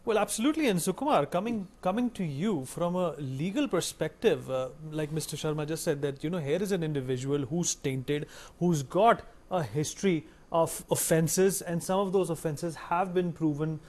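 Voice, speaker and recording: A man's voice: fairly high pitch, moderate pace, hardly any pauses, darkish tone. A man with an Indian accent hosting a news panel discussion, putting pointed, emphatic questions to his guests. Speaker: news show host.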